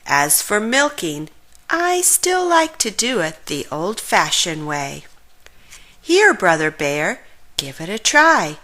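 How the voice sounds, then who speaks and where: A woman's voice: mid-range voice, very animated, slow, pushed and bright, full character voice. A woman voicing a friendly, proud, folksy farmer bear in a children's storybook, explaining things in rhyme.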